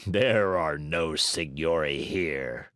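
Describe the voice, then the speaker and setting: A man doing Fire Eater, a hoarse gruff puppet master. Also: extremely low pitch, slow, a bit bright, no room sound, studio quality, pitched below the performer's own voice, full character voice. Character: gruff bearded male puppet-show director, blustering.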